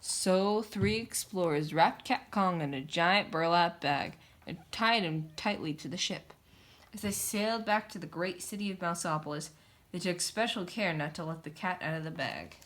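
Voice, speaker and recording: A woman's voice: on the low side, moderate pace, a bit bright. A woman reading a children's picture book aloud, narrating in a theatrical, emphatic way. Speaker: storybook reader. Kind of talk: dramatic children's story narration.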